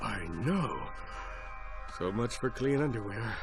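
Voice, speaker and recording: Bumbling, self-important space hero, game cutscene, grandiose, highly animated, music underneath. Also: male voice, slow pace. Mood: deflated. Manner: grandiose, melodramatic. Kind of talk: animated video game acting.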